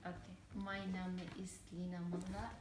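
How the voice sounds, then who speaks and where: A woman from Syria whose first language is Arabic, speaking careful, slow learner's German with some hesitation. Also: lowish voice, completely flat delivery, roomy sound, background noise. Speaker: German learner in a practice interview.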